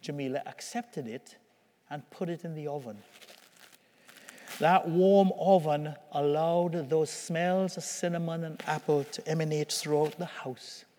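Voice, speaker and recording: In a big reverberant hall, a man delivers a sermon at an unhurried pace. His fairly high-set voice carries a slight rasp, and he speaks with measured emphasis, leaving dramatic pauses between phrases. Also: steady tone, dark tone. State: slightly raspy voice from recent illness.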